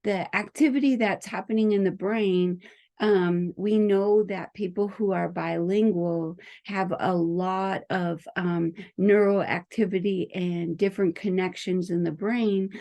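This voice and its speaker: A woman who speaks English natively, giving an informal explanatory lecture as an educator in a careful, halting manner. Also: mid-range voice, fairly even intonation, warm tone. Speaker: teacher educator explaining a learning principle.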